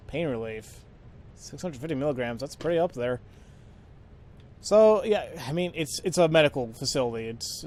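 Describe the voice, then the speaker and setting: A man giving casual, halting let's play commentary, informally thinking aloud as he goes. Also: on the high side, a little mellow. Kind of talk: casual video game commentary.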